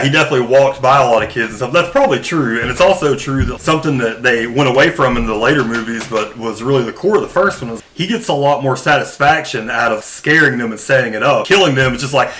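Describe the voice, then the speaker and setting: A man on a podcast panel, explaining his points casually in an animated, rambling way. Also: mid-range voice, fast, a little hiss or hum, rough recording. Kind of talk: casual film discussion.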